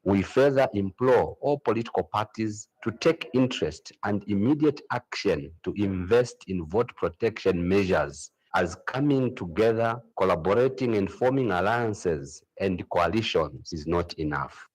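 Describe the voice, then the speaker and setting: A man with a Zambian accent speaks as an organisational spokesman. His voice is on the low side and noticeably husky. He delivers a prepared statement in formal language. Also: animated, a little slow, few pauses, a little mellow, rough recording. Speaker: civil society organisation spokesman.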